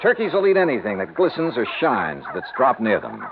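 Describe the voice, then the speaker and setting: An actor playing a world-weary insurance investigator in a vintage radio drama, with clipped, dry delivery. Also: male voice, medium pitch, huge pitch swings, fairly quick, gravelly, phone-quality sound, background noise. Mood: calm, assured. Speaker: lead actor and narrator. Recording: band-limited vintage radio, music behind.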